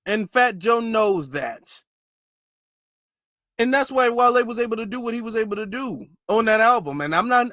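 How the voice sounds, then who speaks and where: A man's voice: high-pitched, sharp tone, dry recording, clean studio sound. A man hosting a livestream commentary, lecturing emphatically and repeating his points. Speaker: commentary channel host.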